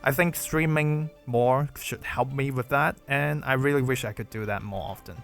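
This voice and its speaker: Measured Chinese-accented young man. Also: medium pitch, a bit bright, faint music behind. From China. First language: Mandarin. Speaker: gaming YouTuber. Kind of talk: read Q&A video narration.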